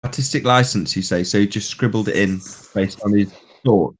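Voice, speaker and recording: A man chips in as a panelist with a fairly low voice. He is casual and wry, boiling things down into short summaries. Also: moderate pace, slightly rough. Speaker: panelist on a live show. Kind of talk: casual interjection.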